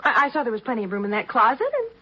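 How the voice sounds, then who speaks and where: A naive teenage American girl, pleading and stammering in a 1950s space radio drama. Her high, husky voice swings widely in pitch as she tries to charm her way out of trouble. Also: very animated, rapid-fire, pushed and bright, exaggerated voice. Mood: cheerful, pleading. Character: naive eighteen-year-old female stowaway, pleading and impulsive. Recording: dull, lo-fi 1950s radio recording.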